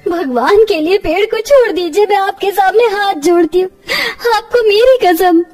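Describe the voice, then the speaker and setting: A devoted young village mother played by a woman with a very high, emotional voice. She sounds plaintive, in cartoon storytelling style, slightly distant over music. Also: normal speed, a little mellow. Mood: tearful pleading. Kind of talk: dramatised children's cartoon story.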